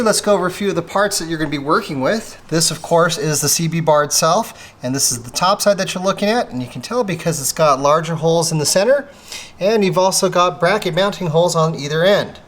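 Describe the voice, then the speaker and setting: High-voiced man giving methodical how-to instruction. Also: fast talker, a bit bright. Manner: methodical, explanatory. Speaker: presenter of a product installation tutorial.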